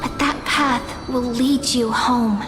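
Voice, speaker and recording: A woman voicing a gentle, sweet, mysterious young guardian in an anime game, soft-spoken, measured and sincere. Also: on the high side, fairly even intonation. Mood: calm, reassuring. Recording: echoey long reverb, faint music behind.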